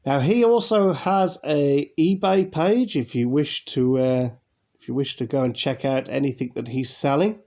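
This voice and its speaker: A man's voice: mid-range voice, normal speed, a little mellow. A man from England, hosting a casual unboxing video, chatty and rambling with fillers, his voice nasal and congested from a cold. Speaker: YouTube unboxing host.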